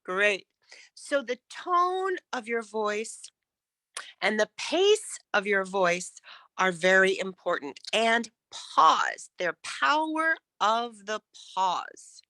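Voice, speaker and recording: A woman's voice: mid-range voice, big pitch swings, slow, pushed and bright, no room sound. A woman coaching public speaking in an interactive lesson, encouraging and emphatic as she demonstrates.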